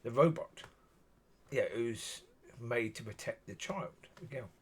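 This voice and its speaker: Man, husky and raspy, musing monologue, mid-range voice. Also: mid-range voice, expressive, unhurried. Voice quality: husky, gravelly. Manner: musing, repeats yeah often. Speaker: solo video talker. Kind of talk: rambling solo monologue.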